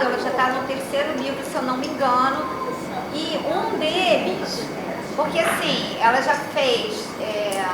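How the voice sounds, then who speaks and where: A Brazilian woman speaking at a roundtable, chatting casually with colleagues and rambling through many restarts and tag questions. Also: fairly high pitch. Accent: Brazilian Portuguese. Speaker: panelist at an academic roundtable. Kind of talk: casual chat among colleagues. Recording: very echoey room with background noise.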